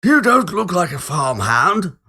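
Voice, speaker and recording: Guy doing a polite confused old man for a ghost story, highish bright voice, hesitant and old fashioned. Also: sing-song, unhurried, slightly rough, exaggerated voice. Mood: mildly confused. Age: late sixties.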